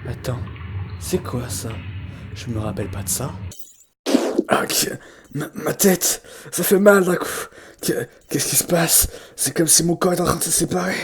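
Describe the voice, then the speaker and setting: Man acting a high-voiced, frightened, bitter young creature. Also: lively intonation, slight background noise, close to an everyday voice. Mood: dazed, afraid. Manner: dramatic, halting, stammering. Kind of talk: fan comic dub acting.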